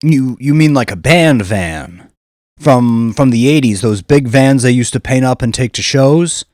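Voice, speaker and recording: A man's voice: mid-range voice, animated, a little slow, darkish tone, no room sound, clean studio sound, voice pushed up, natural voice. A man voicing a big, good-natured nightclub bouncer who talks in hesitant, deferential street slang for an audio story. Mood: puzzled.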